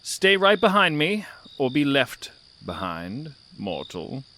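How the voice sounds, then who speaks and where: A man's voice: mid-range voice, wildly swooping pitch, slow pace, background noise. A man voicing a haughty, curious cat guide in an audio drama, with a droll, aloof, condescending drawl. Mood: imperious. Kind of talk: theatrical audio drama narration. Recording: night insect ambience behind the voice.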